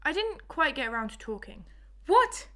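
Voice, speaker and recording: Woman as a high-pitched, cheeky girl. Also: big pitch swings, normal speed, bright, forceful tone, full character voice. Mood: teasing, excited. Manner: teasing, dramatic. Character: cheeky, excitable English village girl in wartime. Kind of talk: audio drama acting.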